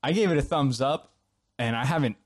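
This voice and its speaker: A man's voice: medium pitch, a little fast, dry recording, studio quality. A man co-hosting a podcast, chatting casually and very informally. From grew up partly in Finland. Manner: informal, peppers speech with "like". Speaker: podcast co-host. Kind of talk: casual conversational chat.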